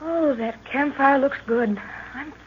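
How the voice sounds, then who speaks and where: An actress playing a professor's plucky but shaken young daughter, melodramatic and genteel, in an old-time radio drama. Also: female voice, sits high, slow. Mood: weary, plucky. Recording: dull, noisy vintage radio recording.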